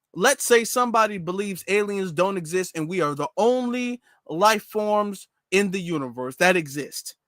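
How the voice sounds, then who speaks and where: A man from the United States delivers an opinionated commentary with a high, bright, ringing voice. He is sarcastic and mocking, often stammering and repeating words, and he swings between loud and hushed. The sound is dry and close, with no room echo. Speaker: commentary channel host.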